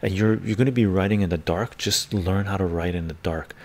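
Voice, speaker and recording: A middle-aged man with a Canadian accent hosting a livestream, chatting casually in ASMR style in an unhurried, rambling way with frequent "right?" tags. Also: deep voice, fairly even intonation, darkish tone. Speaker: livestream host. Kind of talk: casual ASMR chat, answering viewers. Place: small book-lined room at home.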